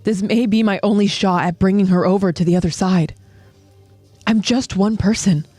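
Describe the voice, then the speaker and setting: A woman voicing a conflicted, cynical young woman in an animated story, talking wryly to herself. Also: medium pitch, normal speed, no room sound, soft background music, close to an everyday voice. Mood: brooding.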